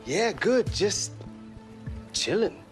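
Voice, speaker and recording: A man's voice: high-pitched, sing-song, extremely slow, faint music behind, heavily acted. A young man with a British accent playing an easygoing footballer, talking in a casual, slangy way. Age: young adult.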